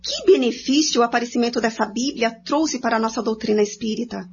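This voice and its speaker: A woman's voice: a little high, fast, slight background noise, natural voice. An actress playing an inquisitive woman in a study group in a scripted radio drama. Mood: questioning. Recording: dull, lo-fi recording.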